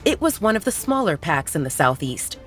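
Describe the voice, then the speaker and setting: A woman narrating a romance audiobook at a steady storytelling pace. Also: lowish voice, fairly quick. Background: soft music.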